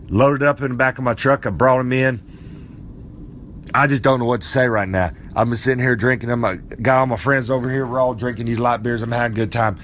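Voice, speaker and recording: A man's voice: medium pitch, a bit bright, lightly acted. Guy putting on a rambling old wrestler, folksy and preachy, bit of room hiss. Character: boastful old male wrestler character, folksy. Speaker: podcast character segment.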